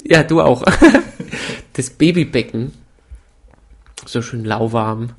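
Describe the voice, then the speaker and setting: A man's voice: medium pitch, takes it slowly, husky. A man with a slight cold, co-hosting a casual chat and teasing his partner. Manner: teasing, joking, laughs often. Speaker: podcast co-host. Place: indoor room with a camera.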